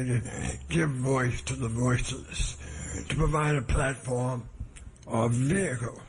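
A hoarse man giving a public speech at a gathering, measured and deliberate, often restarting his phrases. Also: medium pitch, slight background noise, low-quality recording. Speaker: guest speaker.

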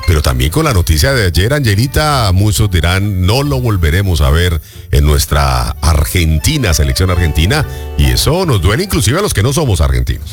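A man co-hosting a news program, talking through a sports story in a conversational, expressive way with occasional hesitations. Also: sits low, faint music behind. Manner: expressive, animated delivery with filler pauses. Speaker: news co-host.

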